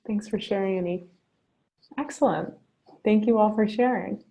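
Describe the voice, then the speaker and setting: A woman's voice: mid-range voice, moderate pace, mellow, rough recording. A woman leading a journaling workshop over a video call, upbeat and chatty, often asking "right?". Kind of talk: guided reflection on a video call.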